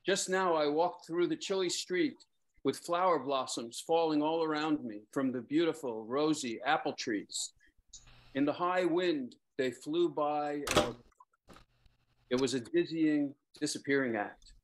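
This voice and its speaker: Higher-voiced middle-aged man reading poetry. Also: unhurried, slight background noise, rough recording. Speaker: poet reading own verse. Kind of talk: casual remarks and measured poem reading. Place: lamplit room at home, on a video call. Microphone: computer mic on a video call.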